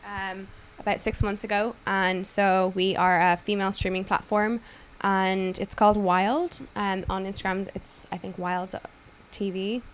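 A woman's voice: mid-range voice, little pitch movement, measured pace, slight background noise. An Irish woman, a podcast guest who founded a tech company, chatting upbeat and explaining her work with frequent ums. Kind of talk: casual interview chat.

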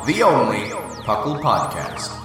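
Grand radio announcer, acted by a man, emphatic hype delivery, distant and echoing over loud music. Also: medium pitch, sing-song, a little slow. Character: grand live-broadcast announcer introducing a show.

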